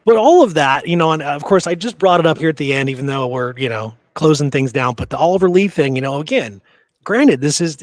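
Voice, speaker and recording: A man's voice: mid-range voice, no room sound. A man hosting a history podcast, telling stories in an informal, slangy, conversational way. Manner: informal, slangy, says dude and you know. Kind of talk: casual conversational history storytelling.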